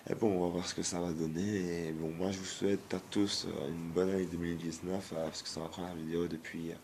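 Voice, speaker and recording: A man, hoarse from a cold, giving a blunt, halting vlog rant full of fillers and restarts. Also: low voice, little pitch movement, darkish tone, a little hiss or hum. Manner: hesitant, many fillers and restarts; blunt, disapproving. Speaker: YouTuber.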